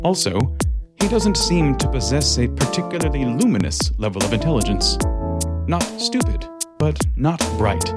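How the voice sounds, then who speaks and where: A man voicing a small, sneaky, wary creature in an audio drama monologue, narrating with smug disdain over loud music. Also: mid-range voice.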